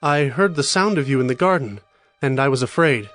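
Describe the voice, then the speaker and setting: An actor playing the first man, young and fearful, speaking in a hurried, anxious way in an audio drama. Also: medium pitch, animated, fairly bright tone, close to an everyday voice. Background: music.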